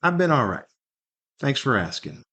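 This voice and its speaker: A man's voice: medium pitch, very animated, moderate pace, a bit bright, muffled recording. Wry, rambling man hosting a podcast. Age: middle-aged or older. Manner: wry, rambling, conversational, with rhetorical questions. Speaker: podcast host. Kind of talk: casual solo podcast monologue with humor.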